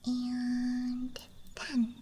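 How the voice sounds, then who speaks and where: Very slow, whispering young woman. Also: on the high side, slight background noise. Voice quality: soft, breathy whisper. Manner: gentle, playful, unhurried murmuring. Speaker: ASMR streamer. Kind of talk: ASMR, unscripted show-and-tell. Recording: faint steady outdoor night ambience. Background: night campground ambience track.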